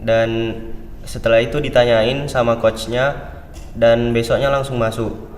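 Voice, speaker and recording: A teenage boy, a student guest, telling his story in a plain, measured way. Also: mid-range voice, fairly even intonation, a little hiss or hum. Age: teens. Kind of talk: casual interview answers. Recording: echoey room with reverb.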